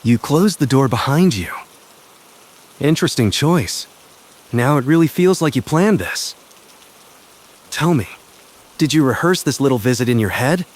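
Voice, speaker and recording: Male actor as confident, possessive professor for intimate ASMR roleplay. Medium pitch, smug, teasing, commanding, deliberate pauses. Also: animated. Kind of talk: boyfriend ASMR roleplay, spoken intimately to the listener.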